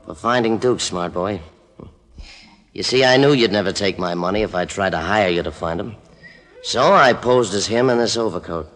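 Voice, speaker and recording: A man's voice: on the low side, moderate pace, a bit bright, close to an everyday voice. An actor playing a smug, taunting male gangster in a 1940s radio drama, with leisurely, mocking menace. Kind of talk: 1940s radio villain acting. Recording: old radio recording with music behind.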